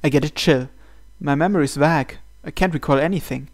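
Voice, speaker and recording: A man's voice: fairly high pitch, a little fast. A man hosting a gaming video, reading visual novel narration aloud. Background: soft game music.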